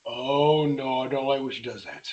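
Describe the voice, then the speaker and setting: A man's voice: medium pitch, fairly even intonation, normal speed, darkish tone, highs cut off, natural voice. A man acting a wary, wry young man in a horror script table read.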